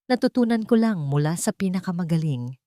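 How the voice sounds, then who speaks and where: A female narrator acts out a cold, calculating woman bent on revenge. Her voice is controlled and lowish, in a dry storybook recording. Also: lively intonation, brisk, warm tone, no room sound, natural voice. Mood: icy.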